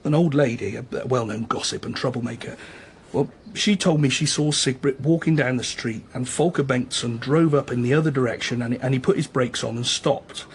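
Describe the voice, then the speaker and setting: A man's voice: medium pitch, fairly quick, slight background noise, lightly acted. A man playing a candid, chatty small-town police chief in a radio drama, conversational and slightly hesitant. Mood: earnest, confiding. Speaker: actor in a radio crime drama. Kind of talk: naturalistic radio drama dialogue.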